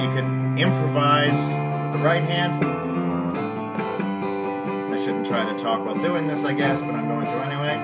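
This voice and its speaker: A man hosting a music lesson podcast who keeps stumbling over his words, laughing at himself and muttering curses under his breath. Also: a little high. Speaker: music podcast host teaching at the piano. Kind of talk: casual music lesson outtakes. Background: his own piano or guitar playing.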